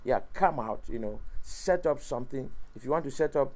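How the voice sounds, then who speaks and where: A man's voice: mid-range voice, expressive, fairly quick, a little mellow. A middle-aged man from Cameroon whose first language is French, giving preachy, motivational answers in an interview, often repeating words and laughing at his own jokes. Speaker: interview guest, YouTuber and preacher.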